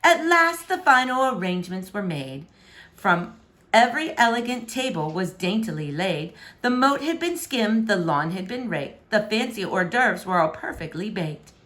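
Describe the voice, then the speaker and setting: A woman reading rhyming children's fiction aloud in an expressive, theatrical, emphatic way. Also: medium pitch. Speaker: storybook reader. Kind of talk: animated, rhyming children's story narration.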